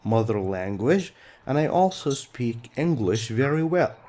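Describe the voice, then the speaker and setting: A man's voice: mid-range voice, big pitch swings, a little slow, very noisy. A middle-aged man whose first language is Arabic, teaching an English textbook lesson patiently and repeating key words.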